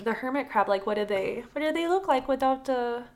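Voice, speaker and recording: High-voiced woman, irreverent comedic storyteller. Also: expressive, brisk, crowd noise. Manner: irreverent, sweary, jokey. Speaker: podcast co-host reading and retelling a folktale. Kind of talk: casual comedic storytelling with banter.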